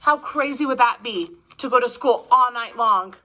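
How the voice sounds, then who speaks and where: A woman reading a story aloud to young children in an expressive, teacherly way. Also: fairly high pitch, moderate pace. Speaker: storybook reader. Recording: dull, lo-fi sound.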